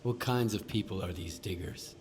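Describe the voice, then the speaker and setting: A man's voice: on the low side, moderate pace, a little mellow, a little hiss or hum, close to an everyday voice. An actor playing a terse, businesslike male stalker in a survival video game. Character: terse male stalker protagonist seeking information.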